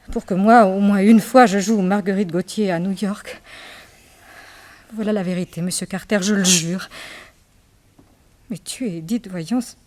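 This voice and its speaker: An actress playing a remorseful young understudy in a radio drama, earnest, hesitant and pleading. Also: female voice, mid-range voice, darkish tone, close to an everyday voice. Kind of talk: theatrical radio drama acting.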